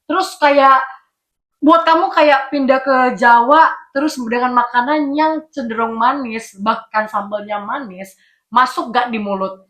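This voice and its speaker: A woman's voice: on the high side, measured pace, fairly bright tone. A young woman hosting a casual chat, teasing her guest, laughing often and talking to viewers. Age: young adult. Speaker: host.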